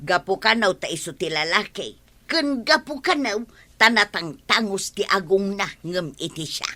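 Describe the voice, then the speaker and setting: A woman's voice: mid-range voice, animated, normal speed, bright, forceful tone. A woman playing an exasperated, hardworking wife in an Ilocano radio comedy drama, scolding and retorting with an Ilocano accent. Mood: gossipy.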